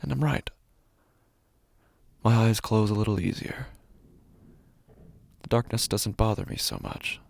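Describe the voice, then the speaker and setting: An actor playing an anxious, obsessive man narrating his sleepless nightmares in a halting horror audio monologue. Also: fairly low pitch, expressive, creaky, no echo, exaggerated voice. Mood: obsessive unease. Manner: halting, hushed, often breaking into whispers. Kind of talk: first-person horror audio fiction monologue.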